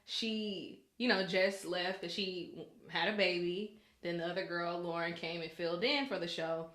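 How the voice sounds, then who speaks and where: A woman's voice: on the low side, fairly even intonation, a little slow, fairly bright tone, roomy sound. A woman co-hosting a podcast, talking casually and colloquially. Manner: colloquial, informal. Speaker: podcast co-host; news anchor. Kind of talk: casual chat.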